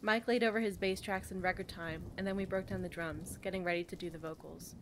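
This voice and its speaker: A woman's voice: on the low side, fairly even intonation, a little fast, a little hiss or hum. A woman co-hosting a podcast, moving between casual chat and reading passages aloud. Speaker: podcast co-host.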